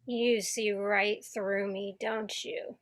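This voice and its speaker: A woman playing a wistful but resolute small-town young woman in a radio play, speaking in a measured way. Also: mid-range voice, flat delivery, natural voice. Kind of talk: community theatre radio play acting. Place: home, over video call.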